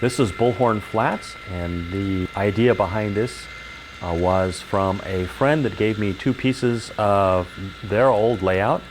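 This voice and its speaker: A man's voice: on the low side, slow, noisy background. An older man giving an informal, explanatory tour of a model railroad layout as its hobbyist guide.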